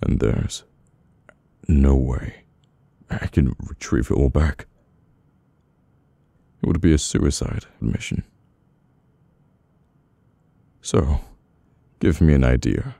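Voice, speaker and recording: Very deep, gravelly British mafia boss played by a man. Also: lively intonation, mellow, no echo, full character voice. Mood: distressed, desperate. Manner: slow, halting, weary menace. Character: brooding, domineering mafia boss, bitter and burdened. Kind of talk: intimate ASMR audio roleplay.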